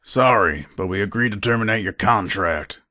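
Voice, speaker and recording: An actor voicing a shrewd, wry heist planner and gun dealer in a video game, gravelly and conspiratorial, with a dry, matter-of-fact manner. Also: male voice, lowish voice, normal speed, sharp tone, no echo. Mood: wry, businesslike. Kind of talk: video game character dialogue.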